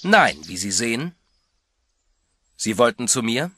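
A man's voice: medium pitch, very animated, measured pace, bright, forceful tone, slightly rough, no echo, exaggerated voice. An actor playing a sinister male hermit in a horror audio drama, with silky, mocking politeness. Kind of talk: radio drama acting.

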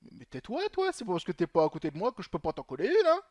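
A man's voice: high-pitched, huge pitch swings, fast, no room sound. A young man commenting on his gameplay in a chatty way, swearing often and talking to himself. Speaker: gaming channel host.

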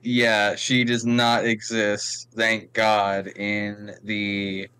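A man talking casually on a podcast over a video call, explaining his opinions in a measured way. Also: on the low side, monotone, sharp tone, clean tone. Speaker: podcast participant. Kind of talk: casual chat.

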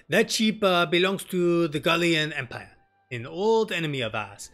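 Guy putting on a polite young elf noble for a game, raised pitch, bright and formal. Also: very animated, a little slow, soft background music, exaggerated voice. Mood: explanatory.

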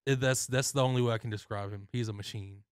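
A young man co-hosts a sports chat from a small studio with a close mic, and the sound is dry. He breaks in with quick, firm takes and often restarts his words. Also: medium pitch, fairly even intonation, clean tone, dry recording. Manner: chimes in with quick opinions and stammered restarts. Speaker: sports talk show co-host. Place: small studio with foam panels. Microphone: studio mic on arm.

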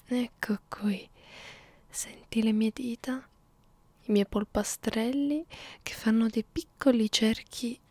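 A woman voicing a hungry, clingy vampire girlfriend in an intimate ASMR roleplay, murmuring softly and slipping into breathy whispers. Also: fairly high pitch, no room sound. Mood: coaxing, teasing. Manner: coaxing, halting, close-up murmuring with small hums. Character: hungry immortal female vampire girlfriend, clingy, teasing, predatory.

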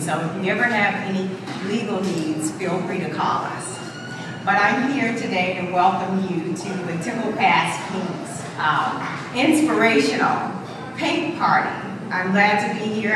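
A woman's voice: medium pitch, very noisy. A middle-aged woman giving an encouraging welcome speech into a handheld mic at a podium, with fillers. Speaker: keynote speaker. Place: large indoor event hall. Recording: echoey hall from far off, music behind.

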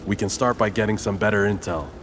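Low-voiced tough gang leader played by a man. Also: fast, background noise, close to an everyday voice. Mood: terse, pragmatic. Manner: terse, commanding. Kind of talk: video game cutscene acting. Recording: music behind the voice.

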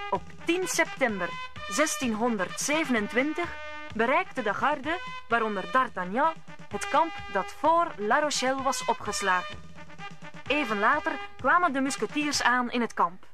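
A woman narrating an old Dutch radio play in a scripted storytelling style. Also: fairly high pitch.

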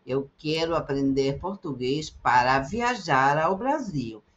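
Slow, lively middle-aged woman teacher. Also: low-pitched, lo-fi sound. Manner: slow, carefully articulated repetition. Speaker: Portuguese teacher. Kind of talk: slow repeated phrase drills for learners. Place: indoors, webcam in a circle overlay.

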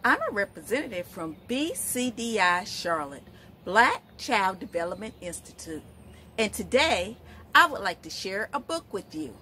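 A middle-aged woman reading a picture book aloud to young children, expressive and asking questions as she goes. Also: medium pitch, moderate pace, a little hiss or hum. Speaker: storytime host, literacy educator. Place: outdoors, in front of leafy shrubs.

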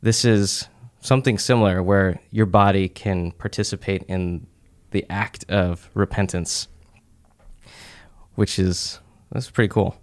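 Young man, low-ish voice, smooth and clear tone, casual church teaching talk, relaxed with fillers, studio boom mic, small office. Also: unhurried. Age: young adult. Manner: relaxed, conversational, many "uh" and "like" fillers. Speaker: church teaching podcast speaker. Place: small office room, indoors. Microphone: studio mic on boom arm.

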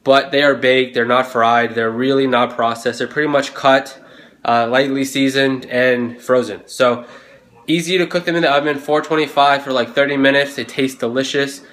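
A man's voice: mid-range voice, normal speed. Young man vlogging, phone at arm's length. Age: young adult. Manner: relaxed, chatty, motivational. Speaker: fitness vlogger. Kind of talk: casual vlog talking to camera. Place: condo gym, then home kitchen. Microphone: phone camera at arm's length.

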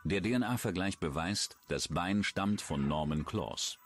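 A man's voice: low-pitched, animated, slow, faint music behind. A man with a standard German accent reading scripted true-crime documentary narration.

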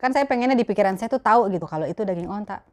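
A young woman from Jakarta speaks with a Betawi accent in an everyday mid-pitched voice. She chats colloquially to her viewers, answering their questions in a casual vlog style. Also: expressive, rapid-fire, a little mellow, slight background noise. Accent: Betawi Jakarta Indonesian. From Jakarta, Indonesia. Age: young adult. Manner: chatty, colloquial. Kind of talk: casual chat to camera. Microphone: clip-on lapel mic.

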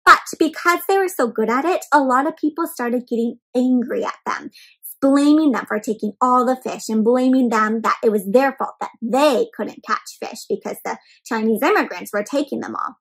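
A young woman hosting an interactive read-aloud for small children, expressive and full of questions addressed straight to her listeners. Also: fairly high pitch, normal speed, husky, rough recording. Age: young adult.

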